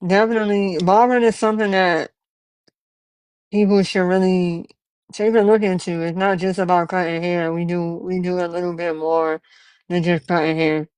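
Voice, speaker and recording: A man's voice: sits high, level tone, moderate pace, a little mellow, smooth, no room sound, poor audio. A man in his twenties hosting a podcast, earnest and encouraging, telling stories from his own start as a barber. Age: 20s. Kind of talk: casual interview chat.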